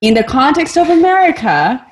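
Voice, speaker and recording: A woman's voice: high-pitched, big pitch swings, moderate pace. A woman who grew up in Kenya talks frankly on a video call. She thinks aloud and sometimes stops to search for the right word. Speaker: discussion participant. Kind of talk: candid group video-call discussion.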